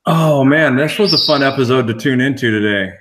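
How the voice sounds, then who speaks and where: A man's voice: medium pitch, fairly quick, fairly bright tone. A man chatting casually and enthusiastically as a panel guest, repeating phrases for emphasis. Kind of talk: casual chat. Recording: clear background noise with clicks.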